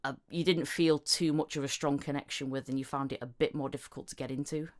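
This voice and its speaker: A woman's voice: sits low, steady tone, quick pace. A woman hosting a podcast interview in a casual way, often laughing and restarting her questions as she goes. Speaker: podcast host.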